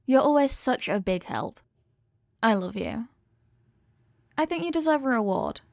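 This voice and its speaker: A woman's voice: on the high side, very animated, unhurried, gravelly, darkish tone, no room sound, clean studio sound, heavily acted. A woman playing a cute, obsessive young girlfriend in a comedic audio roleplay, chatting to the listener in a sweet, earnest, rambling and possessive way. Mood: doting.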